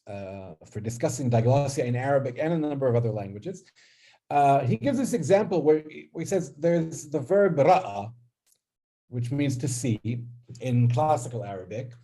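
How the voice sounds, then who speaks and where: A man's voice: medium pitch, expressive, moderate pace, dark tone. A man whose first language is Hebrew, giving an academic linguistics lecture, hesitant, with many fillers and restarts, often checking in with "right?". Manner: asks listeners "right?".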